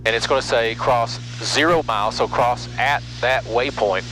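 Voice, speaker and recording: Loud aircraft drone surrounds an American man of middle age with a medium-pitched voice. He talks casually into a headset from a small cockpit, explaining things like a vlog host as he flies. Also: normal speed. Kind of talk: casual explanatory vlog talk while flying. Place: cockpit of small propeller airplane. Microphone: aviation headset mic. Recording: loud aircraft engine hum, headset intercom.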